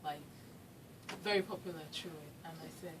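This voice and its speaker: A Nigerian woman in her thirties speaking as a guest writer, her voice very low for a woman. She talks in a relaxed, unhurried way, filling pauses as she thinks. The mic sits far off and loud noise runs behind her. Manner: relaxed, hesitant with fillers. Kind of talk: radio interview.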